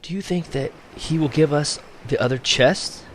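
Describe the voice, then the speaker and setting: A man voicing an anxious young island girl in a storybook, hushed and worried. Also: on the high side, moderate pace, slight background noise, voice pushed up, lightly acted.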